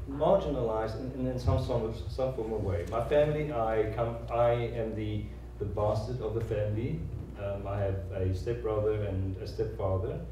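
A man from South Africa in his thirties, a guest telling his life story in an interview, earnest and halting, with many restarts. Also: medium pitch, dark tone, a little hiss or hum. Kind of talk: conversational oral-history interview. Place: large echoey room, seated on stage. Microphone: handheld mic. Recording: roomy hall sound, mic picked up from a distance.

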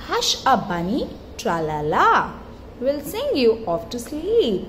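A woman with a high voice reads a children's picture book at an unhurried pace. Her delivery is expressive and singsong, with encouraging little prompts for a toddler. It is a home phone recording with clear background noise. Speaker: mother reading to her toddler. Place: tiled floor in a room at home.

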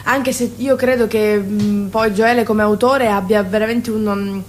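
A woman from a theatre company talking in a radio interview, halting as she searches for words. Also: medium pitch, steady tone, slight background noise.

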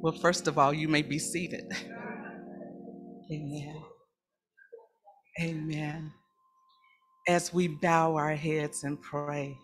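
A woman preacher opening with a slow, solemn prayer from a church pulpit. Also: deep voice, flat delivery, soft background music. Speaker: presiding district elder, preacher. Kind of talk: opening prayer before a sermon. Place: large church sanctuary.

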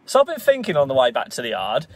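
A man, giving excitable running commentary to a dashboard camera from his truck cab. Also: mid-range voice, fast talker, background noise. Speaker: truck driver vlogger. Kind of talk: casual driving vlog commentary. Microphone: camera mounted on dashboard. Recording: loud music over voice.

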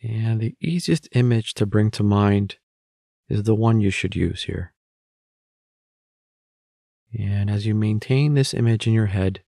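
A man leading a guided meditation as an instructor, speaking in measured short phrases with pauses between them. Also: lowish voice, vocal fry, mellow, no room sound, studio quality.

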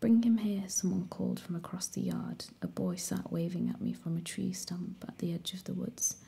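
A woman reading a story aloud in a soft, steady whisper. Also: fairly low pitch, normal speed, vocal fry, husky. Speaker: audiobook reader.

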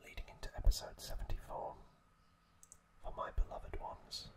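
A man whispering breathily and haltingly with long pauses, close to the microphone, as an ASMR host recapping a story.

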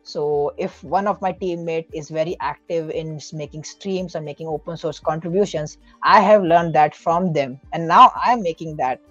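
A man's voice: on the high side, a bit bright, lo-fi sound. A man speaking as a podcast guest, giving earnest, explanatory advice in a casual way, often correcting himself mid-sentence.

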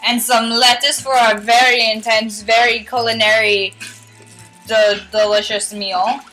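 A woman's voice: a little high, level tone, unhurried, low-quality recording. A young woman playfully hosting a mock cooking show in a home kitchen, giving silly instructions in a mock-formal tone. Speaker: vlogger cooking show host. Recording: home video with loud music behind. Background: rap music playing.

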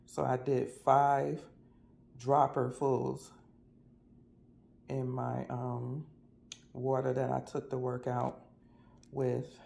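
A man's voice: mid-range voice, monotone, very slow, gravelly, long pauses, a little mellow, slight background noise. A man with an American accent chatting casually in a folksy, colloquial way, as a vlogger reviewing products.

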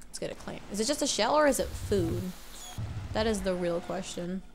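A woman's voice: mid-range voice, expressive, moderate pace, gravelly, a little hiss or hum. Rambling congested young woman gamer. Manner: rambling, self-correcting, unsure. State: congested from allergies. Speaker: let's play gamer. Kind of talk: casual gameplay commentary.

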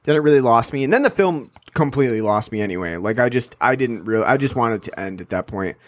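A man's voice: mid-range voice, expressive, dry recording, studio quality. A man co-hosting a podcast, chatting casually and sarcastically about a film, rambling with frequent fillers. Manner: rambling, sarcastic, quick mock voices. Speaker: podcast co-host. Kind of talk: casual film review chat.

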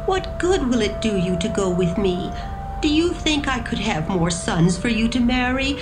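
A woman's voice: on the high side, sing-song, normal speed. An actress playing a sorrowful older widowed mother-in-law in a dramatised Bible recording, speaking earnestly and pleadingly. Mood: tender pleading. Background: music.

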